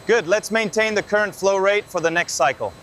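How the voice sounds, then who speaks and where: A man with a noticeably high voice talks shop as a factory worker. His remarks are short and practical, with faint machinery and vehicle noise behind him. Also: fairly quick.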